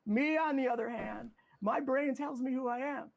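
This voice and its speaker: Very high-pitched, creaky man. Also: normal speed.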